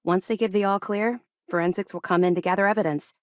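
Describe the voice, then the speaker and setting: An actress playing a disciplined, earnest space-navy officer with a crisp military manner in a video game. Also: female voice, mid-range voice, steady tone, fast, no room sound, studio quality, close to an everyday voice. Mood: businesslike. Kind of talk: video game character dialogue.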